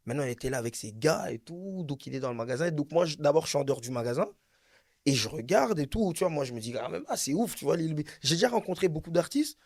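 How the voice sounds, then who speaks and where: A young man tells a wild story in a casual chat. His voice sits a little high and he talks in an animated, slangy way, hammering his points home by repeating them. The sound is close and dry. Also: fast, no room sound. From Belgium, Brussels.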